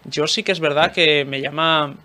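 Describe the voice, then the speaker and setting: A man's voice: fairly high pitch, fairly even intonation, moderate pace, sharp tone. A young man with an accent from Spain in a casual panel discussion, speaking tentatively with frequent tag questions. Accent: Spanish from Spain. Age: young adult.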